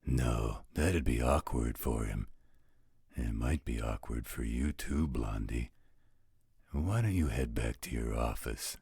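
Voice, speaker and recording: A man playing a protective, teasing werewolf guardian in a roleplay audio drama, speaking with calm, affectionate assurance. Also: a bass voice, takes it slowly, slightly rough, no room sound, studio quality, full character voice. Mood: calm, firm.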